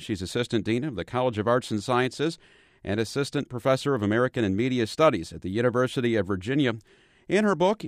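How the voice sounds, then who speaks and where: A man hosting a radio talk show in a studio, introducing a guest and asking questions in a measured way. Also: mid-range voice, dry recording. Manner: measured, some restarts. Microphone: studio mic.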